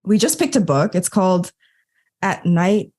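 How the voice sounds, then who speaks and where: A woman's voice: on the low side, animated, measured pace, a little mellow. A woman guest on a podcast, an Enneagram coach, chatting casually and acting out her own quoted thoughts. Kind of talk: casual conversational storytelling.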